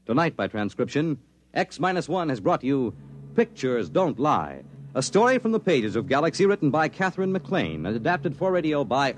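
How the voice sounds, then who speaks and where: A man announcing a 1950s radio drama in a crisp, formal broadcast style. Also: medium pitch.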